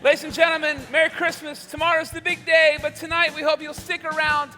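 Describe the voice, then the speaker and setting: A man's voice: very high voice, flat delivery, a little fast, pushed and bright, faint music behind. A man playing a genial, rambling veteran host of an old-time radio show on stage. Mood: showy. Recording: echoey hall with long reverb.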